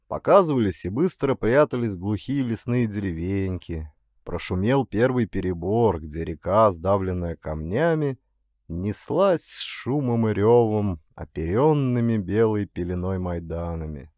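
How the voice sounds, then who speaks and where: A man narrating a literary story as an actor would, in an expressive, dramatic storytelling manner. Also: fairly low pitch, unhurried, no room sound, studio quality.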